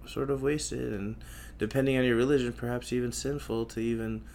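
A man's voice: mid-range voice, steady tone, fairly quick, gravelly, a little mellow. A young man hosting a solo podcast, rambling in a crude, profane and self-mocking way that often loses its thread. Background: faint noise from outside.

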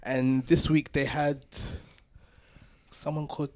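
A man's voice: fairly high pitch, little pitch movement, slow pace, a little mellow. A man hosting a podcast, chatting casually and telling stories in a jokey way. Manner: jokey storytelling, laughs often. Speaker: podcast host.

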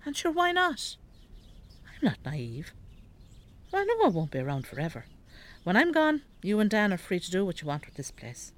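A woman's voice: mid-range voice, huge pitch swings, moderate pace, pauses often. An actress playing a stubborn, frank elderly farm mother with an Irish accent in a radio drama. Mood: frank, urging. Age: older. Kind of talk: naturalistic radio drama acting.

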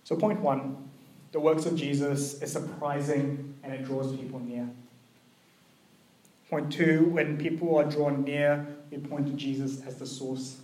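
A young man giving a conversational sermon, earnest and personal, with a gently hesitant delivery. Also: a little high, steady tone, a little slow, roomy sound, a little hiss or hum, low-quality recording. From New Zealand and Malaysia. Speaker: preacher.

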